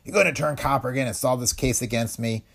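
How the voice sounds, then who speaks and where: A man voicing a smug male police lieutenant who talks in a gruff, mocking way. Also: medium pitch, fast talker, a bit bright, voice pushed up.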